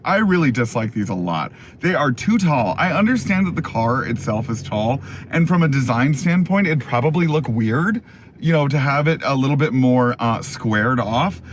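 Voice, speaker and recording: A man hosting a casual, chatty car review in an animated, opinionated, enthusiastic way, his voice going a little hoarse. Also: a little high, a little hiss or hum. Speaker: car review video host.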